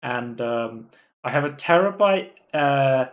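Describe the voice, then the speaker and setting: A man's voice: mid-range voice, lively intonation, slow pace. Chatty man rambling with fillers. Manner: rambling, many fillers and restarts. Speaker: podcast co-host. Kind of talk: casual gaming news chat. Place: home room, live stream.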